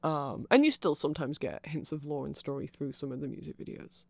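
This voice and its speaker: Casting: ASMR voice, androgynous mid pitch, gravelly fry, very dry sound, casual and digressive nerd. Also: brisk, a little mellow, dry recording. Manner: nerdy, self-deprecating, digressive. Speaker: ASMR creator explaining band lore. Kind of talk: casual rambling ASMR explainer.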